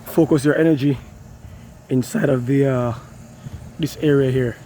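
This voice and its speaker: A hoarse man who has lost his voice, casually explaining an air conditioner cleaning while he works outdoors. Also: mid-range voice, steady tone, normal speed, mellow, slight background noise. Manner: loose, repetitive, talks while working. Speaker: HVAC technician. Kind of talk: casual how-to demonstration.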